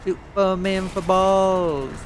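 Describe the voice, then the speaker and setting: High-pitched British man gaming, noisy background. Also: steady tone, slow. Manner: playful, childlike exclamations, sing-song filler noises. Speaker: streamer playing a video game. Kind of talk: casual gameplay commentary. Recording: noisy, game vehicle sounds behind. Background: video game vehicle noise.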